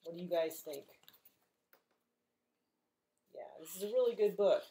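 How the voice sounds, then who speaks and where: A middle-aged woman reading a picture book aloud to young children, gentle and expressive, as a librarian at home. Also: fairly low pitch, fast. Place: living room at home, indoors.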